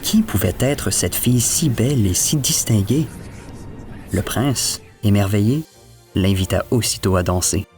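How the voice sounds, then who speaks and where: A male storyteller narrates a scripted fairy tale. His voice sits fairly low, and soft music plays behind him. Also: lively intonation, measured pace.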